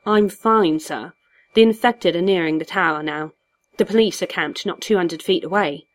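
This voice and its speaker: A woman's voice: lowish voice, moderate pace, natural voice. Woman acting a dour male butler, loyal, formal, dry, polite, storybook reading. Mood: calm, concerned.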